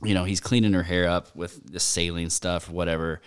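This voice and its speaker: Co-host, thirties male, low smooth voice, rambling comic story with swearing. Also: fairly even intonation, brisk. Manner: storytelling, swears often, mimics voices in quotes. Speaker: podcast co-host. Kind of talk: casual comedic chat.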